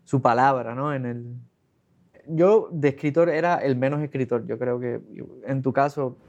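A man's voice: medium pitch, moderate pace, darkish tone. Male interviewer, casual, rambling questions, muffled lo-fi audio. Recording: dull lo-fi sound.

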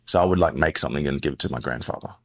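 A man's voice: very deep voice, rapid-fire, fairly bright tone. A man in his forties with an Australian accent, a podcast guest telling his life story in a rambling, self-correcting way. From born in the US, raised in Western Australia. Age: 40s. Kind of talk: casual interview talk.

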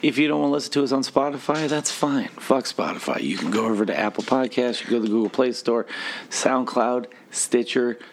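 Podcast co-host, man, gravel in the voice and a bit hoarse, crude rambling humor, faint noise behind. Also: medium pitch, brisk. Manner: profane, joking, rambling. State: slightly hoarse. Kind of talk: crude casual podcast banter.

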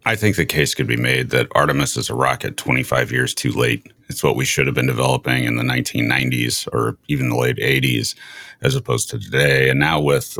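A man hosting a conversational interview, phrasing his points in a measured, somewhat formal way. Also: a bass voice, creaky, a bit bright, no room sound. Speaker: interview show host.

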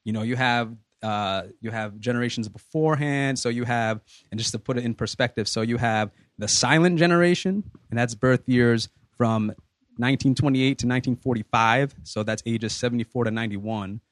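A man in his thirties hosting a podcast, leading a casual group discussion in a relaxed, rambling way with many fillers. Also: medium pitch, moderate pace, dry recording, clean studio sound. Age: 30s. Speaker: podcast host.

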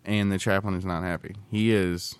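A man's voice: deep voice, little pitch movement, moderate pace, clean tone. A man hosting a podcast in casual banter, with a teasing, mock-aggrieved manner. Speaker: podcast host.

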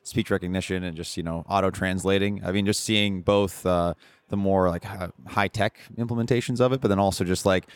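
A man speaking as a podcast guest in casual chat, with a hesitant, halting delivery. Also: low voice, steady tone, clean tone, dry recording.

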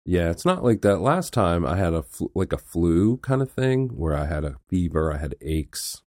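Stuffed-up guy with a deep voice cracking dry jokes, super dry close mic. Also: very animated, moderate pace, a little mellow, no echo. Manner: dry, joking. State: congested, sick with a throat and sinus illness. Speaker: podcast co-host and teacher. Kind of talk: casual chat.

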